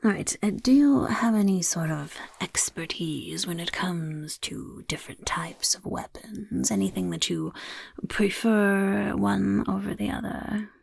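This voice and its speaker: A woman voicing a scheming little goblin overlord who interviews recruits in a soft-spoken ASMR roleplay, whispering smugly. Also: mid-range voice, unhurried, dry recording, studio quality. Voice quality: gravelly, breathy whisper. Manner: whispery, smug, mischievous questioning. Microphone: close ASMR mic.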